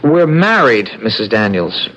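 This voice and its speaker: An actor in an old-time radio drama playing a pushy, folksy young country-born bridegroom who talks in a colloquial, cajoling way. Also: male voice, fairly low pitch, very animated, a little slow, a bit bright, full character voice. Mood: earnest. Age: young adult. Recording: dull, noisy old radio broadcast.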